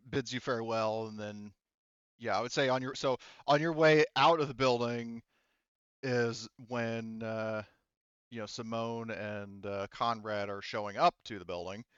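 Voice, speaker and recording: Smooth-voiced man running a tabletop game, haltingly. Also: medium pitch, steady tone, a bit bright, dry recording, muffled recording. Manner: halting, rambling, self-correcting. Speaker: game master. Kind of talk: casual narration of a tabletop roleplaying game.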